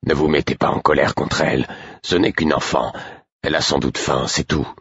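A stern, weary man, acted by a male audiobook reader, reproves with restraint and patience. The voice is extremely low and husky, in a dull, echoing recording. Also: moderate pace, a bit bright, heavily acted. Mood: stern, tired. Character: stern, tired man, gruff but patient and protective. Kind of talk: audiobook character acting.

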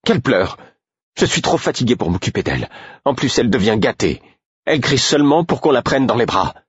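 A man's voice: low voice, big pitch swings, a little fast, breathy edge, no room sound, muffled recording, full character voice. A man voicing an exhausted, exasperated young mother in an audiobook, snapping and complaining with angry defiance. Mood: angry, defiant. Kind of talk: audiobook character acting.

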